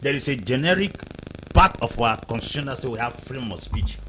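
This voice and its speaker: A man's voice: medium pitch, expressive, brisk, bright, forceful tone, a little hiss or hum, poor audio. A man from Cameroon arguing emphatically as a panel guest in a heated debate, repeating and driving home his points. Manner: emphatic, repetitive, lecturing.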